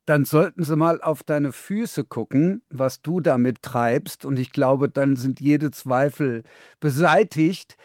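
Guy interviewing a band, a bit higher voice, friendly and chatty, dry sound. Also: takes it slowly, a little mellow, no echo. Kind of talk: interview questions.

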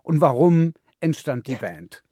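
Male interviewer, slightly raised pitch, dry close sound, direct friendly questioning. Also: very animated, unhurried, dark tone, no echo.

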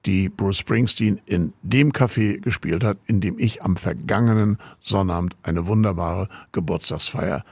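A man's voice: deep voice, measured pace, hardly any pauses, a little mellow, husky, no echo. A man from Rostock presenting a radio show in a chatty, meandering way, moving from serious talk to introducing music. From Rostock, Germany. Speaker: radio host.

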